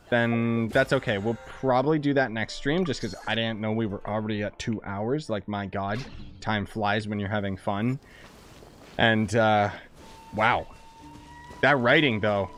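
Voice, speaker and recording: A young man chatting through a game stream, relaxed and thinking out loud. His voice sits in an ordinary middle range. Faint game music plays underneath. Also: mid-range voice. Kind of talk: casual live-stream commentary.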